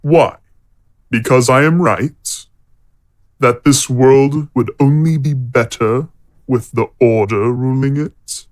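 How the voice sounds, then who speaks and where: Man playing a slow-spoken, condescending warrior-monk villain. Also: mid-range voice, a little mellow, lightly acted. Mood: smooth, persuasive. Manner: measured, condescending, preaching. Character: middle-aged male warrior-monk villain, calm and persuasive. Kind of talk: fantasy audio drama acting.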